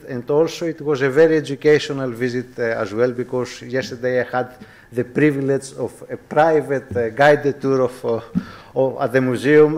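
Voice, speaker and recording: A man with a Greek accent giving a formal academic lecture as a guest professor, courteous and measured, often restating his phrases carefully. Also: medium pitch, darkish tone. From Greece.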